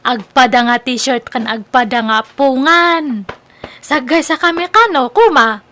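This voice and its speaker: A woman plays a playful young daughter choosing between suitors in a radio-style comedy drama. Her voice is very high and she draws her words out slowly, with an Ilocano accent. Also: a bit bright. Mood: breezy.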